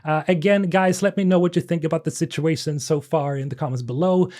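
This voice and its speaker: Tired, slightly raspy young man commentating. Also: a little high, fairly quick, slight background noise. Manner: animated, incredulous, with frequent fillers and laughs. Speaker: gaming news YouTuber. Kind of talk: casual commentary video.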